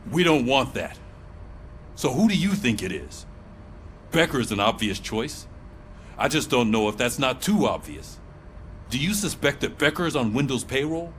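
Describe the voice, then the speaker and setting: A man's voice: medium pitch, expressive, normal speed, pauses often, fairly bright tone, slight background noise, lightly acted. A man playing a skeptical, probing police colleague in a video game, talking in a wary conversational way. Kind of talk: video game cutscene acting.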